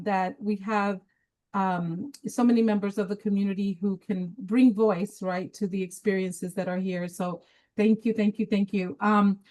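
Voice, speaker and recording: A woman from Peru presents to an online community group in a casual, chatty way, with plenty of ums and restarts. She speaks at a middle pitch over a video call. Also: poor audio. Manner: warm, chatty, with laughs and filler words. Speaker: webinar presenter.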